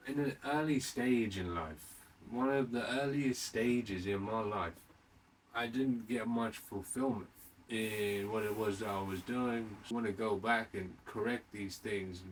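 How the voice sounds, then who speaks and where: A man in his late thirties with a fairly low voice talks off the cuff, as if recorded some way from the microphone. He circles back over his phrases, restating them haltingly, and throws questions out to the listener. A faint layer of noise sits behind him. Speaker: podcast host. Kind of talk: rambling unscripted monologue. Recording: distant mic with faint background noise.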